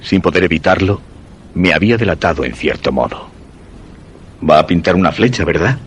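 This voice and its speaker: An actor with a Spain accent playing a shy, obsessed man who tells his own suspense story in measured, literary radio-drama narration. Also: sits low, animated, slight background noise. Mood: hesitant, nervous. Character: Guillermo, shy obsessed man secretly following a young woman.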